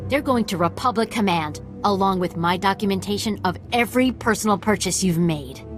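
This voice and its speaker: An actress playing a principled, no-nonsense military officer in a video game, direct and businesslike. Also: female voice, medium pitch, a little fast, over music, natural voice. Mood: indignant, scornful. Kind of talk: dramatic video game acting.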